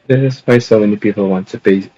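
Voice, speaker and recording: A man's voice: on the low side, fast talker, lo-fi sound, over music. A man casually reading video game text aloud as the player.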